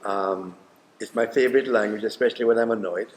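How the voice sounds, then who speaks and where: A man's voice: lowish voice, a little fast, a little hiss or hum. Jamaican-accented man telling a story. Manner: wry, conversational, with fillers. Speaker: history professor. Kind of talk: documentary interview, storytelling.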